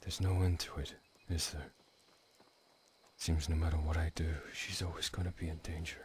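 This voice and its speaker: A very deep-voiced, weary father who once killed for a living, speaks in subdued game dialogue. His delivery is reflective and fairly level, with noticeable noise behind him. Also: little pitch movement, moderate pace, darkish tone. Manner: subdued, reflective, at times near whispering. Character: weary retired killer, a gruff devoted father.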